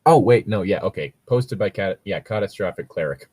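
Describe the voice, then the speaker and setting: A man's voice: sits low, lively intonation, a little fast, darkish tone, slightly rough, no echo, clean studio sound. A man casually bantering and reading online stories aloud, with a wry, joking, sometimes profane manner. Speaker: co-host reading online tabletop gaming horror stories.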